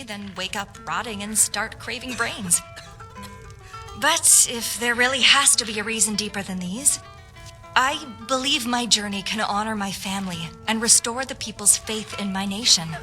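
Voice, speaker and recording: A woman playing an earnest, proud noble swordswoman and patriot in a video game, speaking in a measured, formal, idealistic way. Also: medium pitch, bright, forceful tone, close to an everyday voice. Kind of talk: video game roleplay dialogue. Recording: music behind the voice.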